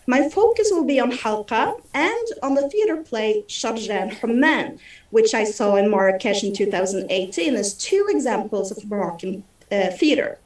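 A young woman from Norway reading a prepared conference presentation over a video call from home, in a steady delivery. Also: a little high, normal speed. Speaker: theatre critic presenting. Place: room at home. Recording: roomy video call audio from a distance.

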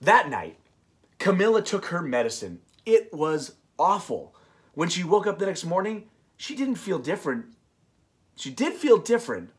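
Animated man reading a storybook aloud. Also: sits high, normal speed. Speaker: co-host of a children's read-aloud show. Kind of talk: expressive storybook narration with casual banter. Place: small indoor room, on a couch.

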